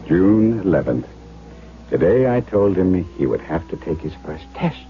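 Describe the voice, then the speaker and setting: Deep-voiced, earnest radio doctor. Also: male voice, big pitch swings, over music, full character voice. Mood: brooding narration. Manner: deliberate, dramatic, coaxing, theatrical. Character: earnest, coaxing German doctor, devoted to his young patient. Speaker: actor narrating and playing a doctor. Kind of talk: 1970s radio drama acting with diary narration. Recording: tinny phone-like 1970s radio broadcast.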